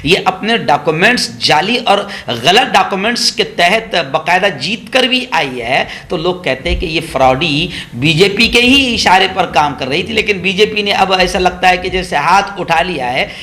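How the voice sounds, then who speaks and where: A man's voice: high voice, animated, normal speed, few pauses, sharp tone. A man giving opinionated political news commentary, sarcastic and mocking, repeating phrases for emphasis.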